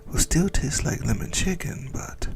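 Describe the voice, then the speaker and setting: A man playing a possessive, doting ancient vampire in a breathy, whispered ASMR roleplay, teasing and intimate as he savors his words. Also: fairly high pitch, animated, unhurried. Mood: relishing, intimate. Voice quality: breathy whisper with gravelly creak.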